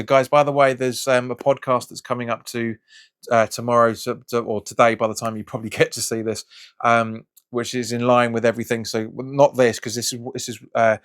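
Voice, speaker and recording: Frustrated football fan, slightly raspy. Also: male voice, fairly low pitch. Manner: frustrated, rambling with restarts. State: hoarse from hay fever. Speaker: football fan channel host. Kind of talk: casual reaction vlog.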